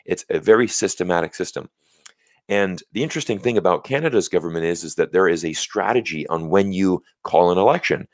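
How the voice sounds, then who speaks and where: A man's voice: deep voice, brisk, no room sound, studio quality. An American man in his thirties hosting a casual live stream, talking in an earnest, explanatory way with plenty of "you know" fillers. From United States. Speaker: livestream host. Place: small home studio, indoors. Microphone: large studio mic on a stand close in front.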